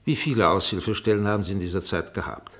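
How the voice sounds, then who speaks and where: A man's voice: sits low, steady tone, quick pace, lightly acted. An actor playing an insistent, insinuating defence barrister in a German radio courtroom drama, formal and peremptory as he questions. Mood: probing, insinuating. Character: relentless, insinuating male defence barrister cross-examining a witness.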